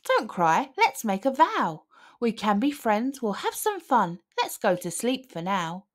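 A woman voicing an excitable, kind little boy in an eager, expressive storybook reading. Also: on the high side, unhurried. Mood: comforting.

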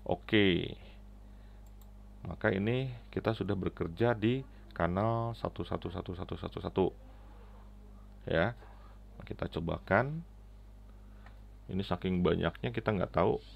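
A middle-aged man giving a casual, halting, step-by-step app walkthrough into a headset mic. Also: sits low, vocal fry. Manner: halting, step-by-step explaining with frequent "ya" tags. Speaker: app reviewer and tutorial presenter. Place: indoors at a computer, keyboard clicks. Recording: slightly dull, lo-fi audio.

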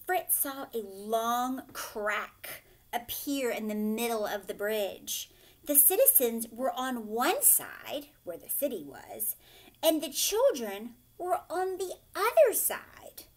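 A woman reads a children's story aloud at an unhurried pace. Her voice sits fairly high and swings widely in pitch, with an instructive delivery that stops to explain and question. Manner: expressive, instructive, pausing to ask questions. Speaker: teacher reading a picture book aloud. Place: furnished living room, indoors.

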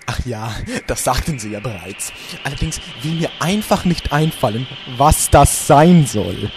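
A man's voice: medium pitch, lively intonation, a little slow, over music. A man playing a chatty fellow from the North Pole in an amateur parody audio drama. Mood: puzzled. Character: Pepe, chatty male North Pole dweller.

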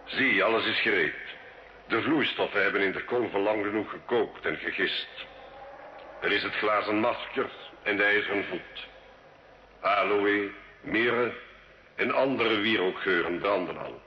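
Man playing a low-voiced cunning sorcerer, echoey. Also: lightly acted. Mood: solemn, commanding. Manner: solemn, measured, theatrical. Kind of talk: old Dutch radio drama acting. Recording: dull, echoey, hissy old radio recording.

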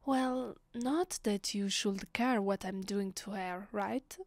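A woman with an Italian accent voicing a haughty, commanding vampire mistress in a soft, often whispery ASMR roleplay, speaking with imperious deliberation. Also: mid-range voice, no echo. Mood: suspicious, disdainful. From Italy. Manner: imperious, deliberate, with lingering pauses. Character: haughty, commanding female vampire mistress addressing mortal slave. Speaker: voice actress. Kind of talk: ASMR roleplay, breathy slow monologue.

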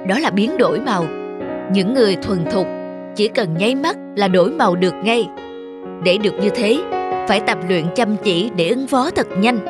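A woman plays a caring mother chameleon in a children's cartoon. She speaks, gently and patiently instructing her little ones. Music plays clearly behind the voice. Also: mid-range voice, expressive, normal speed, natural voice. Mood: gentle, instructive.

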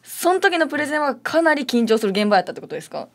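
A woman's voice: sits high, big pitch swings, racing. A woman assisting on a radio show, politely reacting and asking questions in casual chat. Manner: polite, responsive listener.

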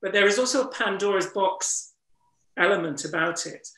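A man's voice: high-pitched, moderate pace, sharp tone. A man hosting a webinar conversation, earnest and reflective, his voice strained from disuse. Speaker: interviewer and wildlife trust ambassador. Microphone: video call. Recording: roomy echo.

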